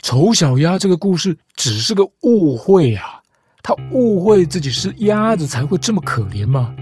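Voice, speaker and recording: Sex: woman. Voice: low, lively. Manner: lecturing storyteller. Behind: music. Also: normal speed. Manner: lecturing, argumentative, tags points with rhetorical questions. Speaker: storyteller host. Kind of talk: scripted comic storytelling. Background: background music.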